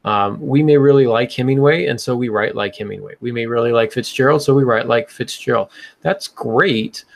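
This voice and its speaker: A man's voice: mid-range voice, moderate pace, creaky. A man hosting a podcast, talking casually in a chatty, explanatory way. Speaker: podcast host.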